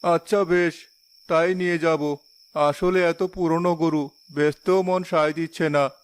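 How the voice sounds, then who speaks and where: পুরুষ কণ্ঠে চড়া গলার দুশ্চিন্তাগ্রস্ত গরিব গ্রাম্য বাবা. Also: male voice, on the high side, fairly even intonation, unhurried, clear, resonant tone, lightly acted. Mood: resigned. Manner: plaintive, lamenting. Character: worried, despairing poor middle-aged village father and husband. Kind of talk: Bangla cartoon voice acting. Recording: music behind the voice.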